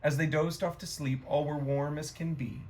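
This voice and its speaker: A man reading a rhyming children's story aloud in a gentle, expressive storytime manner. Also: fairly high pitch, moderate pace, slight background noise.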